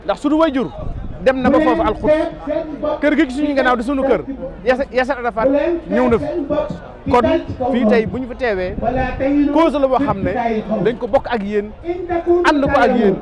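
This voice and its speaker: A man's voice: very high-pitched, moderate pace, pauses often. A man speaking as an official representative, in a formal, declamatory way. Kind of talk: formal address. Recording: loud crowd, outdoor rally.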